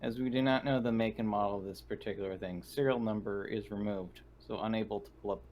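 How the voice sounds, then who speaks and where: A man's voice: on the low side, steady tone, fast talker, darkish tone, slight background noise. A man playing a tabletop roleplaying game, speaking in formal, precise wording as he plays his part. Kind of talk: casual roleplaying, partly in character.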